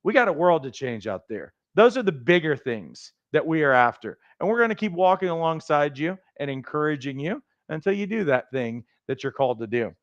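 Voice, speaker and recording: A man with a raspy voice hosting a livestream, giving a fast, emphatic, motivational pitch for coach training. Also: big pitch swings, no echo, highs cut off. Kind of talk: energetic sales pitch on a livestream.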